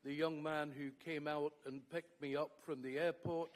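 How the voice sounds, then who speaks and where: A Scottish man, a trade union secretary, giving a measured conference speech with some fillers. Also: on the high side, level tone. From Scotland.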